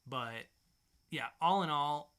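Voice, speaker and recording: Guy cohosting a comics podcast, bright punchy voice, casual and really into it. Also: a little high, takes it slowly, clean tone. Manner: enthusiastic, informal. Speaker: podcast co-host. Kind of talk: casual comic book review chat.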